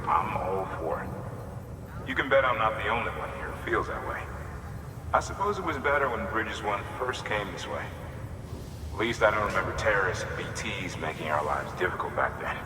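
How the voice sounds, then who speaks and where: An actor playing a cautious but friendly, casually plainspoken cargo inspection worker in a video game. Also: male voice, fairly low pitch, lively intonation, normal speed, close to an everyday voice. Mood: earnest, grateful. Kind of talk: video game dialogue acting. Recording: distant echoey hall, noisy machinery ambience.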